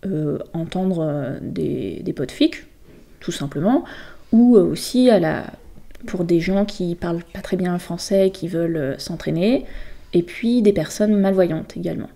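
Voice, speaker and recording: A woman guest explaining things casually in an interview, with hesitant, halting phrasing. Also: lowish voice, creaky, mellow. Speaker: guest presenting a volunteer project.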